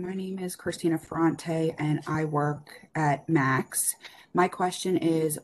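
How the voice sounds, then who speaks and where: A woman's voice: deep voice, darkish tone. A woman taking part in an online clinical meeting, asking a formal question over a video call. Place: indoor room on a video call. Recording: noisy video call, faint room noise.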